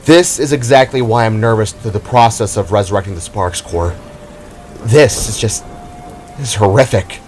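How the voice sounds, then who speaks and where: A man's voice: fairly low pitch, normal speed, darkish tone, faint music behind, lightly acted. A man voicing a brash, snippy younger brother, a glowing energy warrior in an audio drama, with a cocky, casual manner. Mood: uneasy.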